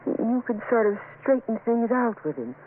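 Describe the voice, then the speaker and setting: An actress playing an anxious, soft-hearted wife and mother in an old-time radio drama. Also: a little high, expressive, normal speed, natural voice. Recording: muffled, noisy vintage radio recording.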